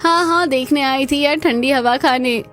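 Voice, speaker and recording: A woman acts out a homely housewife in a family cartoon. She is chatty and exclamatory in a fairly high voice, with faint music behind her lines. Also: unhurried, a bit bright. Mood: excited, teasing. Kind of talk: scripted cartoon dialogue.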